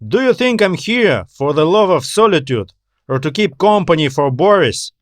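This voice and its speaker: A male narrator performs a jaded trader on a remote space station in a sci-fi audiobook. The man is frank and informal, with a sardonic grumble and a fairly high pitch, in a very dry recording. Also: expressive, normal speed, no room sound, natural voice. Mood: grumbling.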